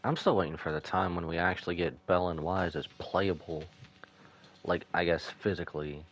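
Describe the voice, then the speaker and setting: A man giving casual commentary as he plays through a video game. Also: deep voice. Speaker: gaming streamer.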